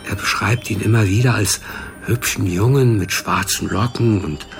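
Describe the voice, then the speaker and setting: Matter-of-fact clinic official, lowish voice, polite and explaining, natural radio drama, music around. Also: male voice, moderate pace, gravelly. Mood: cautious. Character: matter-of-fact male official at a geriatric care institution.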